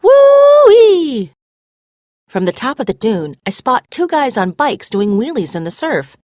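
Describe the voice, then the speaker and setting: Animated woman reading a story aloud. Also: medium pitch, moderate pace, no room sound, clean studio sound. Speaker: read-aloud narrator. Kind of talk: scripted storybook narration.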